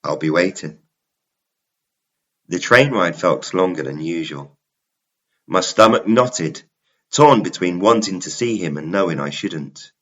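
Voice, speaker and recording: A man narrating a scripted first-person story in a measured, dramatic, confessional manner. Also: sits low, expressive, fairly bright tone, muffled recording.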